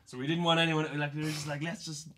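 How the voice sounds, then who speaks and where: A man's voice: a little high, little pitch movement, quick pace, pushed and bright, a little hiss or hum. A man with an Irish accent, a musician chatting casually in an interview. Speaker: band musician being interviewed. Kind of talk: casual chat.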